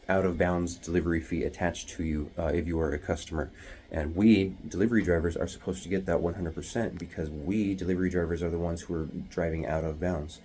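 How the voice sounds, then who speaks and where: A man with a very deep, low-pitched voice explains things in a hushed, half-whispered way, stumbling through fillers and restarts. He talks into a phone in a small bathroom at home. A faint hum of background noise sits under the voice. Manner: rambling, many fillers and restarts; hushed, half-whispering to avoid waking others. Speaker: delivery driver vlogger. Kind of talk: casual explainer vlog.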